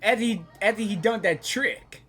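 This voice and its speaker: A woman giving casual, spontaneous reactions to a game in a halting, sympathetic way, often repeating her words. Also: medium pitch, a bit bright, husky. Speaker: gaming reaction video creator.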